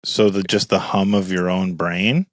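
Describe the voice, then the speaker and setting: A man co-hosting a podcast with a deep voice, talking in a deadpan, mock-serious way. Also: a little slow, no room sound, studio quality. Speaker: podcast co-host. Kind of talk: casual chat.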